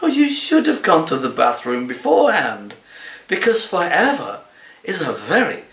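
A man in his sixties reads comic poetry like an actor, with a deliberate, dramatic and expressive delivery, recorded in a living room. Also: a little high. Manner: deliberate, theatrical, expressive delivery in a cat's persona. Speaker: actor reading poems. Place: small living room, indoors. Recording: roomy, echoey home recording.

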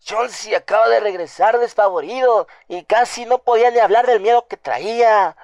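Man playing a high-voiced, trembling, frightened country housekeeper. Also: darkish tone, no room sound. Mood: terrified, urgent. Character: frightened gossiping farm housekeeper woman, superstitious, rambling. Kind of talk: radio drama acting.

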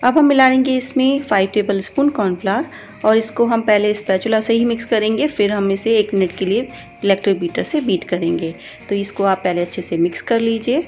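A woman's voice: medium pitch, a little mellow. A woman giving informal step-by-step instructions as a cooking channel host. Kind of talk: recipe voice-over, step-by-step instruction.

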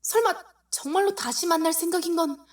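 A woman's voice: very high voice, a little fast. A woman voicing a conflicted young woman thinking aloud in an audio drama, fretful and unsure. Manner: fretful inner monologue.

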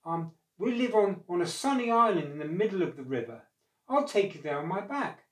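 A storyteller voicing a soft-hearted male crocodile, flustered and hesitant, for a folk tale. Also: medium pitch, sing-song, fairly quick, pitched above the performer's own voice, close to an everyday voice. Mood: nervously persuasive. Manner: stammering, hesitant. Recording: roomy echo, distant microphone.

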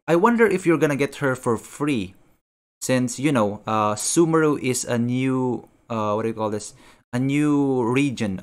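Halting young man reacting casually. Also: mid-range voice, smooth. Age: young adult. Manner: halting, repeats phrases. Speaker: reaction video host.

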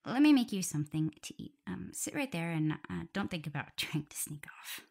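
Casting: woman to play young female omega werewolf for ASMR audio drama; caring, flustered, fussing; soft breathy delivery, lowish pitch with plenty of vocal fry. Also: very animated, fairly quick, exaggerated voice. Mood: tender, caring. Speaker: audio roleplay performer. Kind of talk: intimate ASMR roleplay.

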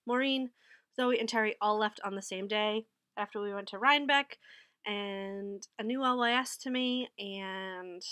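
A woman's voice: fairly high pitch, sharp tone, smooth, no room sound, clean studio sound. Chatty woman with a stuffy head cold. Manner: chatty, rambling, self-correcting. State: head cold, a bit nasal. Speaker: knitting vlogger. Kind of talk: casual haul and recap vlog. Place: small wood-paneled room with bookshelves. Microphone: webcam at desk.

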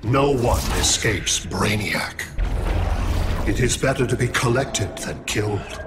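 Cold alien machine villain, lowish voice, very slow, clinical, imperious, video game, music behind. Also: male voice, expressive. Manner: detached, precise, imperious. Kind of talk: video game character acting. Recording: music under the voice.

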